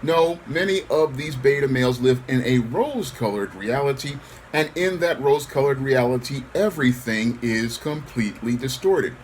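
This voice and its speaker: Lively-pitched man giving commentary. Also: moderate pace, slight background noise. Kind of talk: solo opinion commentary on a news story.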